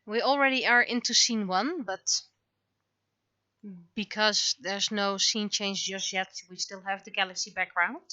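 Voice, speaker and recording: A woman's voice: medium pitch, steady tone, a little slow, sharp tone, no echo, highs cut off. A woman giving casual livestream commentary as she shows her own creative work. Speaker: livestreamer, webcomic and visual novel creator.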